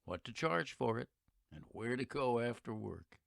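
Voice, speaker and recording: A man's voice: mid-range voice, big pitch swings, normal speed, creaky, no room sound. Male actor as earnest radio-drama narrator, folksy oratory, swooping intonation, dry close sound. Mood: earnest, lyrical. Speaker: narrator of an audio play. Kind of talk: theatrical radio-play narration.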